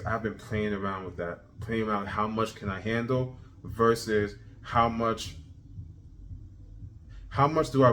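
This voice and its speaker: A thirty-year-old man talking casually in a self-improvement video journal, energetic and repeating himself as he pushes his point. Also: fairly low pitch, a little hiss or hum. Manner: energetic, repetitive, self-motivating. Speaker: vlogger.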